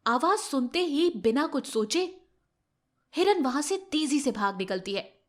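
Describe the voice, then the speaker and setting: High, lively woman storyteller. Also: unhurried. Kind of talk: scripted children's moral story narration.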